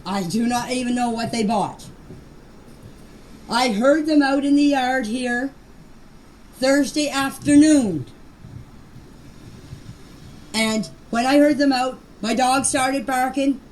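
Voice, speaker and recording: An older woman with a high voice gives an informal, plain-spoken account of events. She stresses her points and repeats them. It is a voice message picked up at some distance, with faint noise in the recording. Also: takes it slowly, darkish tone. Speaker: grandmother recounting events. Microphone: voice clips recorded for a group chat.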